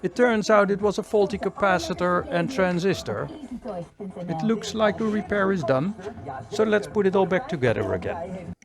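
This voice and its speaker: Male repair-tutorial host, methodical, gravelly fry in a slightly higher voice. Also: animated, moderate pace. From Netherlands. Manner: methodical, explains step by step. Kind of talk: hands-on repair tutorial commentary.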